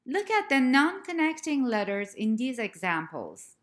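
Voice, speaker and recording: Woman teacher, slide-lesson narration, unhurried pace, slightly high voice, careful enunciation. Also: very animated. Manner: slow, careful, clearly spelled-out letter names. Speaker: language teacher.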